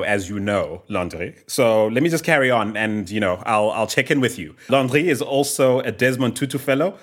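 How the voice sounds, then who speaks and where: A man hosting a podcast interview, lively and reading out guest bios at length. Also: medium pitch. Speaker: podcast host.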